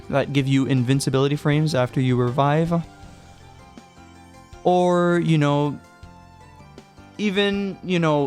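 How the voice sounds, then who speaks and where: A man's voice: on the high side, a little mellow. A young man narrating a casual game tutorial, chatty and joking, with loose fillers, a little sick. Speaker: gaming guide creator.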